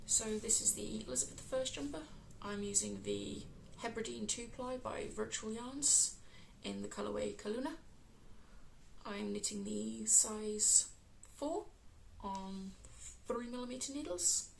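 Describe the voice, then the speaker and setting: Croaky woman with a West Midlands accent. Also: medium pitch, a little hiss or hum, poor audio. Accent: West Midlands English. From England. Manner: chatty, many ums, unhurried. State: croaky from a cold. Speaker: crafting podcast host. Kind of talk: casual crafting chat.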